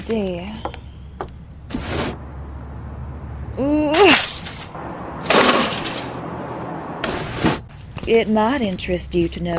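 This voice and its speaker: A woman's voice: medium pitch, very noisy, natural voice. A woman voicing a genteel, whimsical cartoon speakeasy owner in a coy, theatrical manner. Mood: whimsical, gracious. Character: genteel, whimsical female cat speakeasy owner, languidly charming.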